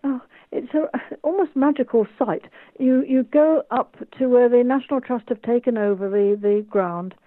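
A woman's voice: fairly high pitch, moderate pace, no room sound. A woman in her eighties from the United Kingdom, an author and tour guide speaking enthusiastically in an interview over a video call. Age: 80s. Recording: tinny phone-like call line.

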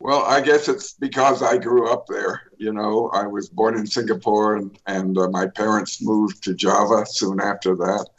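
An older man, an author, telling his life story in a radio interview, pausing often with fillers and restarts. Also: on the low side, steady tone, highs cut off. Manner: rambling, many fillers and restarts. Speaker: author guest.

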